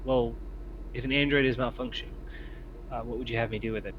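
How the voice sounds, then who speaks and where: A man voicing an evasive, impatient android on a derelict spaceship in a tabletop game, with formal, measured and hesitant phrasing. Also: mid-range voice, steady tone, lightly acted. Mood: calm, evasive. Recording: muffled lo-fi mic with faint noise.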